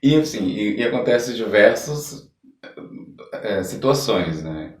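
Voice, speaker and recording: A Brazilian man hosting a casual podcast interview, speaking haltingly as he searches for words and repeats phrases. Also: fairly low pitch, lively intonation. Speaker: podcast host. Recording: roomy echo, distant from the mic.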